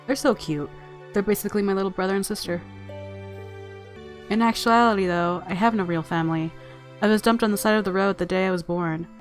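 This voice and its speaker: A woman's voice: mid-range voice, brisk, faint music behind. A woman narrating a visual novel aloud as a let's-player, mixing story reading with casual commentary.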